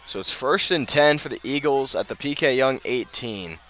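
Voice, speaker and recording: Male live football radio commentator, forceful bright voice, measured but ready to lift on big plays, light outdoor noise behind. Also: mid-range voice. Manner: builds from measured narration to raised, excited calls. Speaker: play-by-play sports commentator. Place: outdoors at a high school football field. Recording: noisy outdoor stadium, live broadcast.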